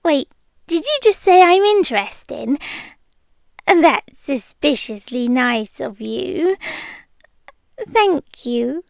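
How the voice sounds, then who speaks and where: A British woman playing a shy, smitten monster from under the bed in an ASMR roleplay, speaking softly and tenderly, often in whispers, close to the microphone. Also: sits high, expressive, no echo, full character voice. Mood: bashful, wry. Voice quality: soft, breathy, often dropping into whispers. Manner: slow, hesitant, tender delivery. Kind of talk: ASMR roleplay, intimate monologue. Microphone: close microphone, dry.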